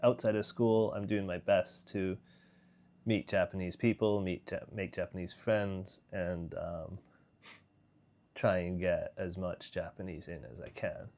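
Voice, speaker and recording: A man's voice: deep voice, fairly even intonation, unhurried, darkish tone. A young man vlogging in a casual, rambling way, full of fillers and restarts. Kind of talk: casual vlog chat.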